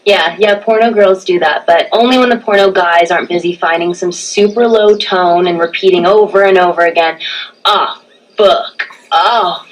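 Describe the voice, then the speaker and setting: Actress as sassy, deadpan small-town young woman. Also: on the low side, normal speed, recorded from a distance, close to an everyday voice, low-quality recording. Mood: deadpan mocking. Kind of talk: dry scripted comedy banter.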